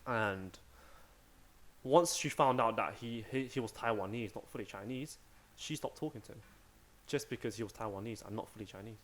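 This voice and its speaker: A young man co-hosting a podcast, chatting in a relaxed, conversational way. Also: lowish voice, moderate pace. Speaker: podcast co-host. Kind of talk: casual chat.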